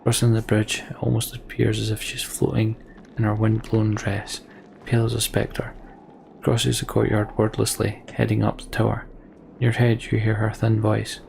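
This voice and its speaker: A man's voice: on the low side, monotone, moderate pace, vocal fry, darkish tone, slight background noise. A man giving casual let's play commentary on a video game in a dry, offhand, muttering way. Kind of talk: casual gameplay commentary with some read-aloud narration. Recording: game music behind the voice. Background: video game music.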